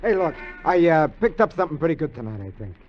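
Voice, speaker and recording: Scheming petty crook, high voice, American, wheedling, slangy, old radio drama, dull sound. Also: male voice, very animated, quick pace. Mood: eager. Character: eager, scheming small-time male burglar sidekick. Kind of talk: 1950s radio drama acting. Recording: dull vintage radio recording.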